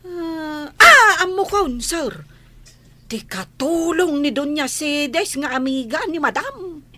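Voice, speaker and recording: Female household helper, radio play, high voice, swooping pitch, deferential, concerned. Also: takes it slowly, exaggerated voice. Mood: respectful, concerned. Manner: deferential, expressive, exclamatory. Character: respectful, concerned female household helper addressing her employer. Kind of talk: radio drama acting.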